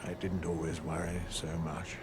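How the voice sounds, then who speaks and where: An actor playing a cunning, scornful aging sage in a fantasy game, theatrical and musing, dropping at times into hushed phrases. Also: male voice, low-pitched, level tone, normal speed, noisy background, lightly acted. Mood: wistful. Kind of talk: scripted fantasy game dialogue.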